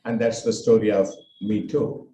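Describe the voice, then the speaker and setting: An older man from India with a Tamil accent, giving a halting personal talk with many fillers. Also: lowish voice, flat delivery, warm tone, reverberant, a little hiss or hum, rough recording. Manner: halting, with frequent fillers and restarts. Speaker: speaker recounting his life.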